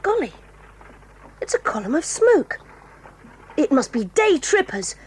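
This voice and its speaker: An actress voicing a proud, quick-tempered tomboy girl in an audio drama, talking in a brisk, bossy and eager way. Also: high voice, sing-song. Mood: excited, lively. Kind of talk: 1980s audio drama acting.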